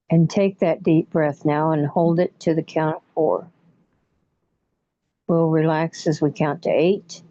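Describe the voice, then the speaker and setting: A woman with a low, mellow voice leads a guided imagery session. She speaks very slowly and soothingly in short phrases, with long pauses between them. The recording is dull and somewhat muffled. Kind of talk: guided relaxation meditation.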